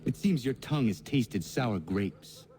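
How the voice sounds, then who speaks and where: Stern, dark-voiced young assassin. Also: male voice, mid-range voice, expressive. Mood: challenging. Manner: formal, blunt, measured wording. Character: earnest, stern, idealistic young male assassin. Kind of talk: video game cutscene acting. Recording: faint background ambient noise.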